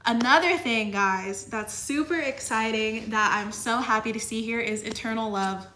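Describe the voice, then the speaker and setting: A woman's voice: on the high side, normal speed, pushed and bright, echoey room, slight background noise, low-quality recording. A young woman giving a casual tarot reading, talking in a chatty way full of fillers and a little sniffly. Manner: chatty, filler-heavy with frequent "like" and "you know". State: slightly sniffly. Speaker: tarot reader.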